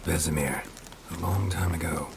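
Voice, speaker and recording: An actor playing a seasoned monster hunter and fatherly mentor in a video game, gruff and laconic with dry understatement. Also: sits low, moderate pace, very noisy. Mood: wry, calm. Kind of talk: cinematic video game acting.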